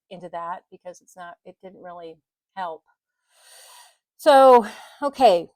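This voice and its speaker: A woman's voice: on the low side, a little mellow, no room sound. A middle-aged woman talking casually to camera in a vlog, rambling and correcting herself, with frequent small laughs. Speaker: vlogger. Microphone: mic on the desk, bumped at times.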